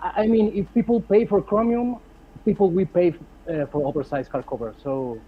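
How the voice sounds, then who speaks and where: A man's voice: sits high, moderate pace, breathy edge. Earnest Mexican man on a dull call line. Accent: Mexican Spanish. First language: Spanish. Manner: earnest, repeats words while searching for English. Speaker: caller. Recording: dull lo-fi call audio with faint hiss.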